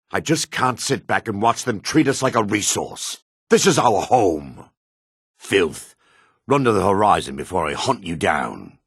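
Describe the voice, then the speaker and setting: An actor playing a gruff, wary, embattled male dark elf with a raspy, gravelly voice in a fantasy video game. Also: low-pitched, dry recording, studio quality, full character voice. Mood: menacing.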